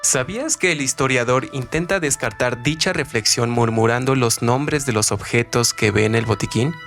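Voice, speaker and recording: Man, mid-pitched, formal scripted reading. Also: a bit bright. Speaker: co-presenter of a literary radio segment. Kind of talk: scripted narration of literary analysis. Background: background music.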